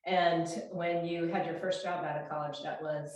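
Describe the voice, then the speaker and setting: A woman's voice: on the low side, fairly even intonation, moderate pace, a little mellow. A woman in her fifties hosting a casual interview. She talks in a chatty way, often restarts her sentences and uses fillers, and speaks into a small clip-on mic. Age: 50s. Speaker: fitness coach and interview host. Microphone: small clip-on mic shared between speakers. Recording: very echoey room.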